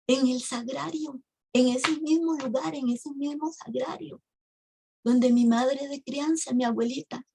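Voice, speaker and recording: Husky woman giving testimony. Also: high voice, darkish tone. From Costa Rica. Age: 50s. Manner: emotional storytelling, addresses the audience as sisters. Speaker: speaker at a women's ministry. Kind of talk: religious testimony.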